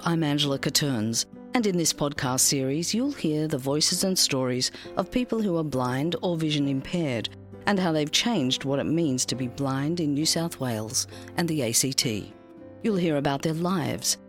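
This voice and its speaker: A woman with an Australian accent presenting a podcast in scripted narration. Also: low voice. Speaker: podcast host.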